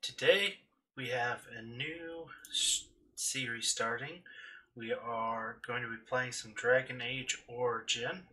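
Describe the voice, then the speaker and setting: A man's voice: medium pitch, steady tone, slow, sharp tone. A man hosting a casual video game playthrough, commenting and explaining as he plays. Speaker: gaming channel host. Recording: distant mic with faint noise.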